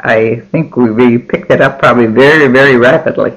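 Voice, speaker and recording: An older man whose first language is Finnish, recalling his childhood slowly in an oral history interview, with many pauses and restarts. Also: medium pitch, a bit bright. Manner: slow, halting, many ums and restarts. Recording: dull lo-fi recording.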